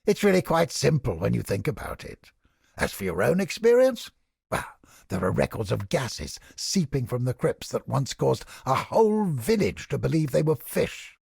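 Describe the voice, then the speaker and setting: An actor voicing a zealous, paranoid interrogator in a video game, a smug skeptic with a raspy voice who lectures theatrically and condescendingly. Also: male voice, medium pitch, big pitch swings, normal speed, a little mellow, dry recording, studio quality, heavily acted. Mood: dismissive, lecturing. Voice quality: gravelly, raspy. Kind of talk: video game character acting.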